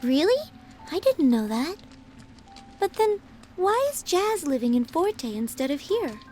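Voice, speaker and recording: An actress playing an earnest, gentle young noblewoman in a game cutscene, speaking sincerely and politely. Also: sits high, big pitch swings, a little slow. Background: game soundtrack music.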